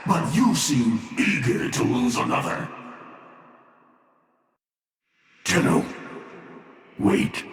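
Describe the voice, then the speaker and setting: An actor playing an ancient, bitter, menacing machine warlord in a video game, speaking in a grandiose, sardonic, theatrical way. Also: male voice, lowish voice, a bit bright, exaggerated voice. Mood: scornful, then weary, solemn. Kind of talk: scripted video game villain monologue. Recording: cavernous echo, long reverb tail.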